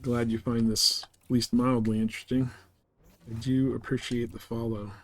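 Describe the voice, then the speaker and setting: A man's voice: medium pitch, level tone, normal speed. A man streaming a game, chatting casually and thinking aloud in a conversational way. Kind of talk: casual chat while playing a space game.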